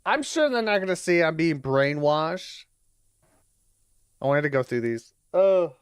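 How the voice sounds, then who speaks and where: A streamer of medium-pitched voice giving casual watch-along commentary, reading phrases aloud deliberately and adding loose muttered asides. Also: animated, smooth, no echo, studio quality. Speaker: streamer reacting to videos.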